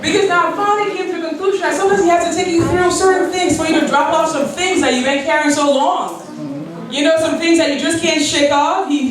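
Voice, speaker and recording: A woman's voice: extremely high pitch, far from the mic. Earnest woman speaking in an echoey hall. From Liberia. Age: 40s. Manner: earnest, storytelling, with quoted prayers and light laughs. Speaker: keynote speaker. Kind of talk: personal speech at an event.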